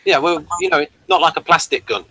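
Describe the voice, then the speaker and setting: A man hosting a TV review show in casual, unscripted chat, with a rambling, halting delivery. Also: on the high side, lively intonation, pushed and bright, a little hiss or hum, poor audio.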